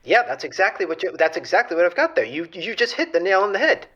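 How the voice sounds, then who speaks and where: A panicky caller with a gravelly voice. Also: male voice, high-pitched, fast talker, exaggerated voice. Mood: earnest. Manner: excitable, panicky. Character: anxious lovestruck male gamer calling a radio show. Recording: muffled phone-line caller sound.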